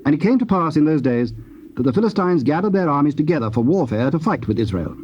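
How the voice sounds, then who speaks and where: Man reading bible story, mellow and solemn, measured pace, dull old tape with faint hiss. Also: fairly high pitch, big pitch swings. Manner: measured, formal. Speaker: narrator. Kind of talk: solemn scripted scripture narration.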